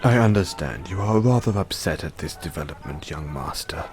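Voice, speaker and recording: A man with a British accent playing a formal, devoted butler who gently chides his young master in an audio roleplay. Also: low-pitched, animated, soft background music. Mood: gentle, apologetic. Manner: formal, measured, deferential. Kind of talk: scripted roleplay, intimate second-person address.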